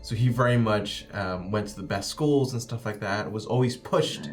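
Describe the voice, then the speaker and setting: A man playing a tabletop roleplaying game, casually improvising a character description in a halting, conversational way. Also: lowish voice. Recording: music playing underneath.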